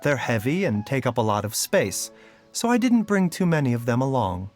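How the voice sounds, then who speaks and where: An actor playing a gentle, scholarly doctor in a video game, speaking in a polite, measured and softly formal manner. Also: male voice, mid-range voice, very animated, natural voice. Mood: courteous, composed. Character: gentle, courteous adult male doctor, calm and scholarly. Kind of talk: scripted game voice lines. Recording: music behind the voice.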